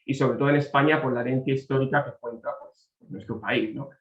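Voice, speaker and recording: Man giving a talk in an echoey room. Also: medium pitch. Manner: measured, frequent tag questions. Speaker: conference presenter. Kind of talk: academic talk over video call. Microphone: video call. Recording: dull, echoey room audio.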